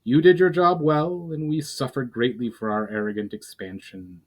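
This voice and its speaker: An actor's elderly elven general from a fantasy storybook, voiced by a man. He is calm and grave, speaking in a measured, forgiving way. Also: medium pitch, slightly rough, lightly acted. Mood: solemn. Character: ancient, calm male elf general, solemn and forgiving.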